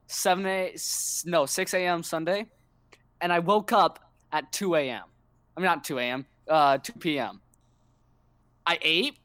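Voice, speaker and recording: A man's voice: a little high, a bit bright, smooth, no room sound. Rambling teenage boy, dry close sound. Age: teens. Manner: rambling, self-correcting. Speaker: podcast co-host. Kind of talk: casual storytelling chat.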